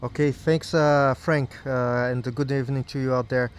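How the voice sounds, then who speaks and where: A man's voice: medium pitch, level tone, moderate pace, a little hiss or hum. A man operating amateur radio, chatting casually in a friendly way and spelling things out phonetically. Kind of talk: casual two-way ham radio chat.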